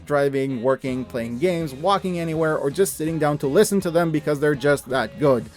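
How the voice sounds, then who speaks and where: A man with a fairly high voice narrates an enthusiastic review over music. His delivery is scripted but informal, with effusive emphasis on what he recommends. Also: darkish tone. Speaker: video reviewer.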